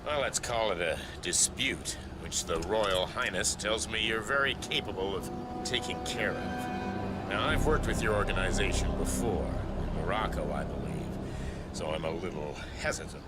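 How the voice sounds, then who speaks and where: Game executive male actor: low-voiced, guarded, direct, businesslike, loud score underneath. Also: moderate pace, close to an everyday voice. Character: guarded, authoritative male executive briefing a hired killer. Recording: loud music bed.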